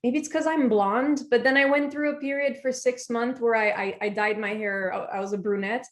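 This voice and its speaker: A woman's voice: a little high, fairly even intonation, moderate pace. A woman in her twenties, born in Germany and raised in France and Brazil, chatting as a podcast guest and laughing easily. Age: 20s. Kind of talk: casual interview chat.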